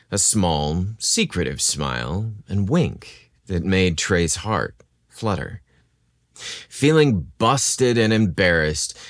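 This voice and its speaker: A man reading a scripted romance story as a podcast narrator, in a dramatic, expressive storytelling manner. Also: low-pitched, dry recording, clean studio sound.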